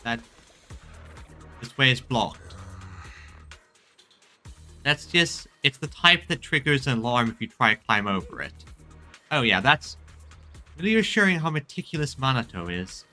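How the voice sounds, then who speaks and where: A man with a very bright, forceful voice streams a video game. He talks casually and haltingly, thinking aloud and reading on-screen text, with game music faintly behind him. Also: medium pitch, very animated.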